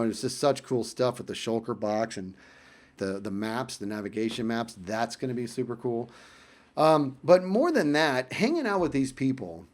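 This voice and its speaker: A man casually telling a personal story in vlog style, animated and emphatic, often repeating phrases for effect. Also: mid-range voice.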